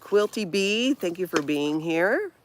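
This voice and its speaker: A woman's voice: fairly low pitch, lively intonation, slow pace, slight background noise. A middle-aged woman from Hawaii with a light Minnesota accent, casually hosting a livestream and reacting to viewer comments with frequent laughter. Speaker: livestream host reading chat. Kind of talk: casual live-chat talk.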